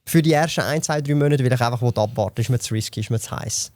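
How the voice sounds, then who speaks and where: A man's voice: mid-range voice, racing, a little mellow, no room sound. A man in his thirties with a Swiss German accent, explaining investing as a podcast guest, with lots of fillers and restarts. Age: 30s. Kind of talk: casual podcast chat.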